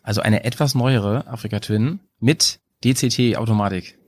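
A man hosting a casual motorbike podcast, joking and lively with his guests over a call. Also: on the low side, measured pace, dry recording. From Bremen, Germany. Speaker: podcast host. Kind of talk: casual chat.

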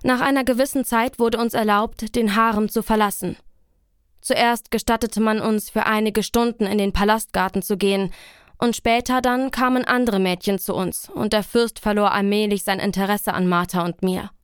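A woman playing a young captive woman in a radio play, recounting calmly. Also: mid-range voice, moderate pace, clean tone, no room sound, clean studio sound, natural voice.

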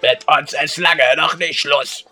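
A man's voice: a little high, fairly even intonation, measured pace, sharp tone, soft background music. A man co-hosting a casual podcast, joking around and breaking into sung snippets. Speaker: podcast co-host. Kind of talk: casual chat.

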